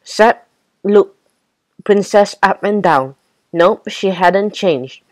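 A woman's voice: medium pitch, slow pace, darkish tone, no room sound. A woman narrating a children's storybook from a script.

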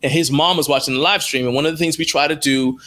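A man's voice: fairly high pitch, fairly even intonation, fast, sharp tone. A middle-aged American man telling stories casually and colloquially as an interview guest on a video call headset. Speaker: interview guest, live stream producer. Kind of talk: casual conversational storytelling. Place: indoor room at home on a video call.

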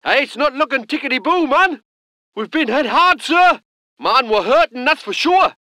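A man's voice: very high voice, expressive, normal speed, bright, forceful tone, no room sound, full character voice. A salty gun crewman. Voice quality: gruff, salty, colloquial. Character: gruff northern British male gun crewman. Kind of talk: video game unit voice lines. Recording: dry close studio recording.

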